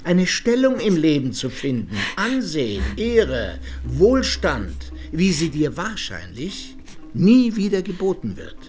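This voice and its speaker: An actor playing a stern, indignant middle-aged uncle in a German radio drama, speaking formally and reproachfully with weighty pauses. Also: male voice, fairly high pitch, wildly swooping pitch, a little mellow, soft background music, heavily acted. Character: stern, indignant uncle, patriarch of a genteel household.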